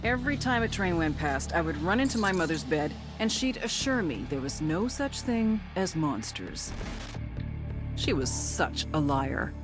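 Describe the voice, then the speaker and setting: Grim lady agent in a video game, lowish voice, hard and measured, loud music under her. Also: very animated. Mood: grim, somber. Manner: measured, hard-edged, foul-mouthed when angry. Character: grim, outraged intelligence agent woman recounting cartel horrors. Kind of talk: dramatic scripted narration in a game cutscene.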